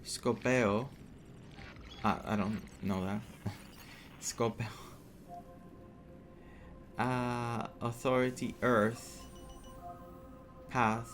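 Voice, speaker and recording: A man's voice: medium pitch, level tone, drawn-out words, pauses often, a bit bright. A man who is a native Greek speaker doing casual playthrough commentary, reacting aloud and explaining words as he reads them. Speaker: gaming streamer. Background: game music.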